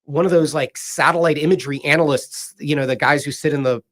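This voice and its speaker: A man's voice: on the high side, fairly even intonation, fairly bright tone. Chatty doctor, man, radio guest. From Philadelphia area, USA. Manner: chatty, self-deprecating, quick storytelling. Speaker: guest, medical director. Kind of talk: radio interview conversation. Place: radio studio. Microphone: studio microphone.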